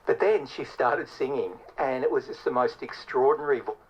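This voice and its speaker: A man's voice: medium pitch, moderate pace, breathy edge, highs cut off. A man telling a casual anecdote on the radio, heard through an old radio speaker.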